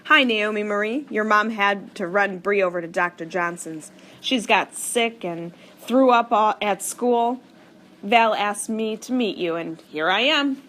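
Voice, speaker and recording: Lady reading a laid-back friendly guy, calm and reassuring, storybook style. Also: mid-range voice, measured pace, close to an everyday voice. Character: friendly grown man, mother's boyfriend.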